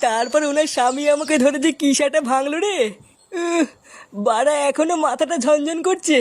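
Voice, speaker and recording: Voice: high-pitched, moderate pace, slight background noise, natural voice. A performer voicing a hapless, bewildered young man in a comedy cartoon, whiny, pleading and crude. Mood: sorry, aggrieved. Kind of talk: Bengali comedy cartoon dubbing.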